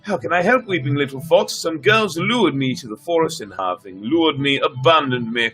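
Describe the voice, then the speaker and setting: A man's voice: a little high, lively intonation, moderate pace, a bit bright, soft background music. A man voicing a lost, weeping little girl from a fairy tale, plaintive, wary and dramatic. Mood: plaintive lament. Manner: plaintive, dramatic, repetitive lamenting.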